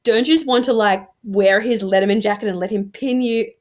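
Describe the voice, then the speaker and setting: A woman's voice: medium pitch, a little fast, darkish tone, natural voice. A woman voicing a sardonic teenage girl from a novel, wry and teasing with friends.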